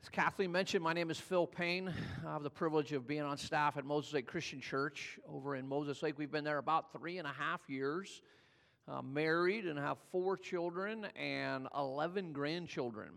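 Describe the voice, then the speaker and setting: A man's voice: moderate pace, vocal fry, fairly bright tone. Older man preaching in a reverberant hall. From California. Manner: conversational preaching, builds in pitch and volume as he tells the story. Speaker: pastor. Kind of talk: sermon.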